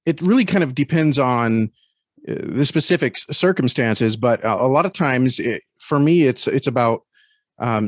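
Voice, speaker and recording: A man speaking as an interview guest in a thoughtful, conversational way, often halting as he searches for words. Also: medium pitch, no room sound, studio quality.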